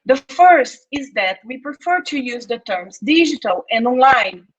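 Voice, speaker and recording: A woman in her thirties from Brazil with a Brazilian Portuguese accent, giving a careful, measured reading of an academic talk from her home office. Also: high-pitched, lively intonation, slightly rough. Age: 30s. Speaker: anthropologist, postdoctoral researcher. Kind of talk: read academic conference talk. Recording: muffled lo-fi video recording.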